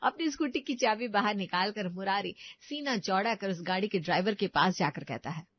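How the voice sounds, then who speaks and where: A woman's voice: medium pitch, lively intonation, brisk, dull audio. A woman reading scripted narration for a comic family story.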